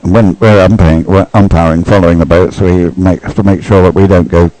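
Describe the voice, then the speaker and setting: A man's voice: deep voice, fairly even intonation, brisk, dark tone, no echo, rough recording. A man giving live race commentary in a rambling, hesitant, informal way, explaining as it goes. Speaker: sports commentator.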